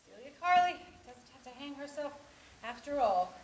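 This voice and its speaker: Actress as a flustered high-voiced anxious mistress. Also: female voice, expressive. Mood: self-scolding, excited. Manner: flustered, halting, self-scolding, dramatic swings. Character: anxious, clumsy, lovelorn mistress rehearsing a dreaded phone call. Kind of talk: theatrical solo monologue. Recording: echoey room with faint noise.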